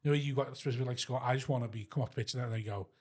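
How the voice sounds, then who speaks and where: A man's voice: medium pitch, darkish tone. A man hosting a podcast. He jokes a lot and keeps restarting his words in a stammering rush. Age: 40s. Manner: rapid, stammering restarts, jokey. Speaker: podcast host. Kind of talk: casual podcast chat.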